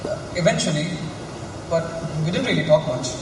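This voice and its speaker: A man's voice: a little high, lively intonation, fairly quick, lightly acted. An actor playing a reserved, pensive writer, a man musing in a stage play. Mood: guarded. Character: Mark, reserved, pensive male writer and teacher. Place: stage in a reverberant hall. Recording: echoey hall, distant mic, noisy.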